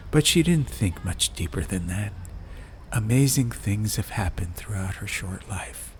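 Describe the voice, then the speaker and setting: A man reading a scripted atmospheric storybook tale as an audiobook narrator. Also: lowish voice. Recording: faint noise and ambience behind voice. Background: ambient background sound.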